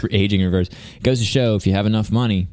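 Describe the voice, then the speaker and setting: A man's voice: fairly low pitch, moderate pace, darkish tone, no echo, studio quality. A man in casual, joking, rambling chat, full of fillers. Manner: laid-back, joking, rambling with many fillers.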